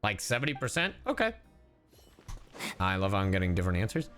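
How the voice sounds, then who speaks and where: Lively joking male streamer. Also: fairly low pitch, brisk, music in the background. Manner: joking, chatty, swears freely. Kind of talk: casual livestream commentary.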